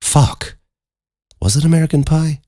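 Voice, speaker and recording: A man's voice: on the high side, measured pace, dark tone, no echo. A man hosting a solo podcast in a casual, rambling, informal way, close to the microphone. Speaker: podcast host.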